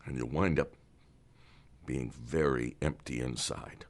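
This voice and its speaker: Stern deep-voiced older doctor. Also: male voice, steady tone, takes it slowly. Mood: scolding. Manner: commanding, scolding, moralizing. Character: stern older male doctor, authoritative and lecturing. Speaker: Doctor Barrows. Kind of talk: audio drama acting.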